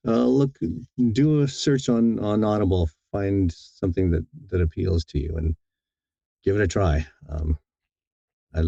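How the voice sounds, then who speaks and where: A man with a deep, dark voice chats casually as an interview guest. He rambles and corrects himself, sounding keen on what he does. The recording is dry and a little dull. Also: gravelly, no echo. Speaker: audiobook narrator being interviewed.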